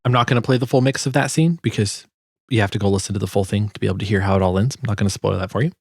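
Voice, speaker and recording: A man with a fairly low voice hosts a sound design walkthrough. He talks informally and a little haltingly, as if thinking aloud. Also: vocal fry, husky, no room sound, clean studio sound. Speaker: sound designer and video host. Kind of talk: casual tutorial walkthrough.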